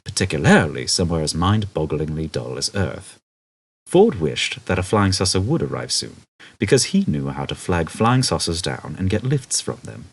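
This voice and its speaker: A man reads a comic novel as a scripted audiobook. His voice sits low and is rough-edged, and his intonation swings widely as he tells the story. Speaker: audiobook narrator.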